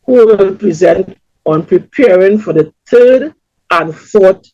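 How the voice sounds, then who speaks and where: A woman with a Trinidadian accent hosting a community webinar over a video call, welcoming people in a lively announcer tone. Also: mid-range voice, takes it slowly, no room sound. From Trinidad and Tobago. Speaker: webinar host. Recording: dull, lo-fi webinar audio.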